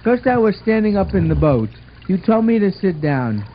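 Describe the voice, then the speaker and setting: A man's voice: high-pitched, big pitch swings, moderate pace. A man voicing an earnest, orderly twelve-year-old boy in a scripted puppet show, explaining things in a bossy, affectionate way. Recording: muffled amateur recording with watery noise.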